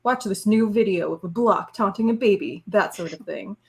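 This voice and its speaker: A woman's voice: medium pitch, normal speed, gravelly, natural voice. A woman voicing a smug, lecherous male boss with a pompous, dismissive manner in an amateur dramatic reading. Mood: dismissive, breezy.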